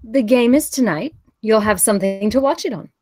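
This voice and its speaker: A woman's voice: a little high, expressive, fairly quick, dark tone, dry recording. An actress playing a caring, practical wife and mother at a script table read. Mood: gently coaxing.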